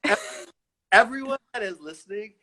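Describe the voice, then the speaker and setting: Playful middle-aged man, slightly high voice. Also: lively intonation, moderate pace, pushed and bright. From Los Angeles. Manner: playful, teasing, laughs often. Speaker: fashion designer guest. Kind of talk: casual video-call chat. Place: his home.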